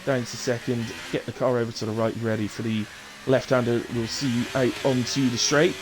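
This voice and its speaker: A man with a chesty, mid-range voice hosts a live sim racing stream. He commentates informally in short running phrases, with clear noise behind him. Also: medium pitch, little pitch movement, fairly quick. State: coughing, chest infection. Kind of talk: live race commentary with casual chat.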